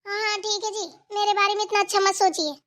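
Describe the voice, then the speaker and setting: A woman acts out a spoiled young wife in a family cartoon. The voice is extremely high and girlish. She is fussy and stubborn, answering back in a whiny, snippy way. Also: level tone, fast, fairly bright tone. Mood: sleepy, irritated. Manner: petulant, snippy back-talk. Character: whiny, stubborn, lazy young daughter-in-law, complaining and fussy. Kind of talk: amateur Hindi family cartoon dialogue.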